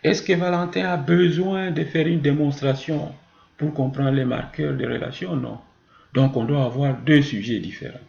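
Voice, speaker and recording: A man's voice: fairly high pitch, normal speed, vocal fry, darkish tone. A man teaching a grammar lesson, explaining didactically with examples and answering his own questions. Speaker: French teacher. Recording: muffled, roomy, echoing sound.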